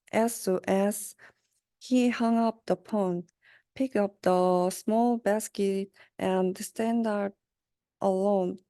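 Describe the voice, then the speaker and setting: A young woman reading a rhyming children's storybook aloud in a halting, word-by-word manner. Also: mid-range voice, mellow, no room sound. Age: young adult. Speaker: student reader.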